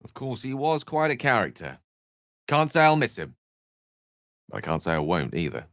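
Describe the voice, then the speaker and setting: A man's voice: mid-range voice, wildly swooping pitch, moderate pace, a bit bright, dry recording, clean studio sound. A man playing an eloquent, witty and self-assured wizard in a video game, with articulate, florid, wry delivery. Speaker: game character voice actor. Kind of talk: theatrical video game character dialogue.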